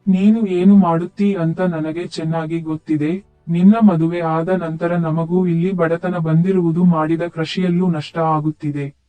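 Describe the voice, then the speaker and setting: Tinny, higher-pitched blunt husband played by a man. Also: level tone, measured pace, soft background music, close to an everyday voice. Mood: scornful. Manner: blunt, belittling. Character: disdainful young village farmer husband. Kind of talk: dubbed animated moral story. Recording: thin phone-like sound.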